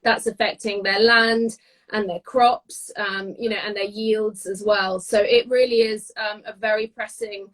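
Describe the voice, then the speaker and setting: A British woman giving a casual live cooking demonstration, chatty and descriptive, often pausing mid-phrase as she explains. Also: medium pitch, little pitch movement, fairly bright tone, low-quality recording. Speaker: guest cook demonstrating a recipe.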